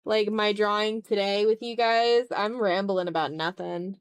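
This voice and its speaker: A woman's voice: mid-range voice, fairly even intonation, unhurried, smooth, dry recording. A woman chatting casually while she draws on a livestream, rambling in a self-deprecating way. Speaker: art livestreamer. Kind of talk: casual chat while drawing on stream.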